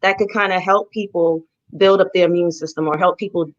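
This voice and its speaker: A woman's voice: fairly low pitch, fairly even intonation, highs cut off. A woman with a Boston accent, a real estate agent guest talking enthusiastically about her plans. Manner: enthusiastic, talkative, spells things out slowly. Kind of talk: casual interview chat.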